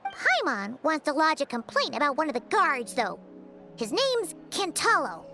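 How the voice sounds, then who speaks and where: A tiny floating fairy from a fantasy game, with an extremely high, squeaky, childlike voice. The delivery is sassy, scolding and highly animated, with music clearly playing behind it. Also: female voice, moderate pace, heavily acted. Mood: matter-of-fact. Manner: exclamatory, scolding, refers to self by name. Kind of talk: English video game voice acting.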